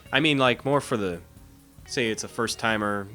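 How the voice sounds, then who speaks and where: A man with a fairly low but bright, forward voice asks questions in an interview. He hesitates and restarts his sentences before getting to the point. Faint music sits in the background. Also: moderate pace. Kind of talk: casual interview.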